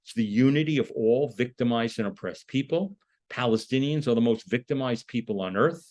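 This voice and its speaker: A man's voice: medium pitch, fairly quick, darkish tone. A man lecturing in an explanatory, emphatic manner, posing rhetorical questions as he goes. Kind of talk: lecture on a political topic.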